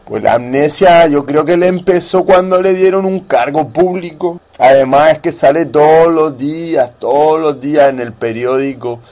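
A man's voice: a little high, normal speed, few pauses, a little mellow. A man speaking colloquially and mock-earnestly as the patient in a satirical radio sketch. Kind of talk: slow, casual satirical sketch dialogue.

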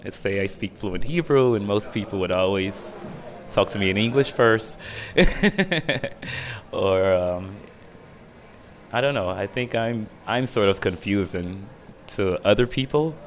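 A young man from Israel chatting casually as an interview guest, speaking in a hesitant, reflective, halting way. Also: lowish voice, expressive. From Dimona, Israel. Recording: music playing behind the voice.

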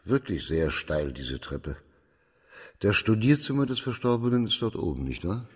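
An actor playing a shrewd, middle-aged judge of imperial China in a radio crime play, formal, measured and authoritative, with a husky voice. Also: male voice, on the low side, very animated. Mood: calm, probing.

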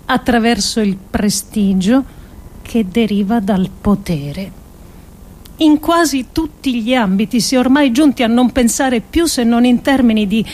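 An actress performing a theatrical monologue as a grave, idealistic political thinker, with deliberate, emphatic phrasing and dramatic pauses. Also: female voice, on the high side, darkish tone, close to an everyday voice. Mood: ironic, argumentative. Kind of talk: theatrical scripted monologue adapted from philosophical essays.

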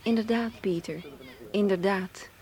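Actress as a teasing, calculating femme fatale. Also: female voice, mid-range voice, measured pace, a little mellow, close to an everyday voice. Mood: teasing, probing. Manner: teasing, pointed questioning. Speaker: actor in a radio drama. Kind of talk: Dutch radio play acting.

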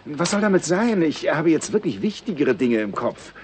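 An actor playing a bored, condescending state secretary in a radio play, offhand and breezily evasive. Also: male voice, on the high side, big pitch swings, moderate pace, darkish tone, a little hiss or hum. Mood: bored, dismissive. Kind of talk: 1960s German radio play acting.

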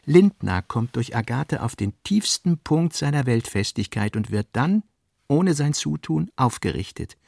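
Male narrator, scripted literary reading, gravelly, dry close sound. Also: mid-range voice, very animated, a little slow, a little mellow, no room sound.

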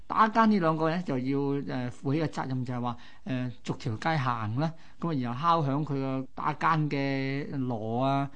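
A man with a mid-range voice tells history as a co-host. He explains in colloquial Hong Kong Cantonese, like chatting, with vivid exclamatory phrasing. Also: medium pitch. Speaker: radio co-host. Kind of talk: conversational history storytelling.

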